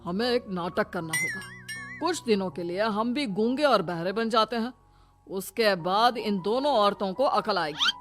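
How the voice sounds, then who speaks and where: A woman's voice: mid-range voice, animated, measured pace, close to an everyday voice. A woman voicing an older, paternal father-in-law who advises patiently in a cartoon moral story. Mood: scheming, advising. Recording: music bed behind voice.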